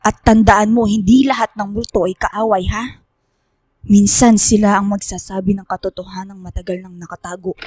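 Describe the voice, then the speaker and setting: Woman acting solemn female professor, mystical mentor, husky, even intonation, grave, commanding, deliberate, radio drama, dry sound. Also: mid-range voice, no echo, lightly acted.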